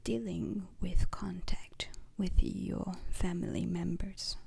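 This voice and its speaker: A woman whispering an ASMR roleplay as a calm, formal instructor, deliberate and pausing between short phrases. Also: lowish voice. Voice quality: breathy, unvoiced whisper. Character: calm, formal instructor briefing space crew trainees.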